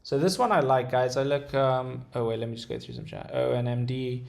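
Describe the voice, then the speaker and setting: A young man with a British accent chats his way through trading charts on a live stream, his voice low in melody and gravelly at the edges. He talks in a relaxed, halting way, full of fillers and restarts. The sound bounces around a roomy space. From Dubai. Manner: relaxed, hesitant, many ums and restarts. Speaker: day trader streamer. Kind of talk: casual livestream chart commentary, reading chat. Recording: roomy echo.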